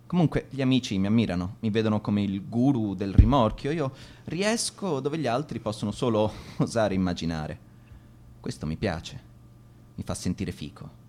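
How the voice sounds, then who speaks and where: A man's voice: low voice, a little mellow, a little hiss or hum. A young man acting in a radio drama as a cocky teenage lifeguard, boastful, curt and defensive. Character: cocky teenage lifeguard boy, defensive, hiding his fear.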